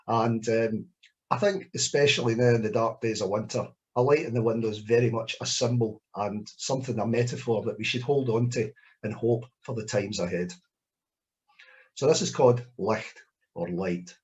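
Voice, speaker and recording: A man's voice: fairly low pitch, moderate pace. Scottish man poet on a dull call line. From Scotland. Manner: relaxed, self-deprecating, hesitant with many restarts. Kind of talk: spoken word reading and Q&A. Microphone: video call. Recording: lo-fi video call audio.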